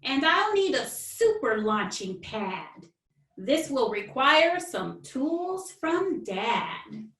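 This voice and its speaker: High, lively woman in a roomy space. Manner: expressive, deliberate phrasing for children. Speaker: children's author, guest reader. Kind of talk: animated storybook read-aloud. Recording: roomy, distant microphone.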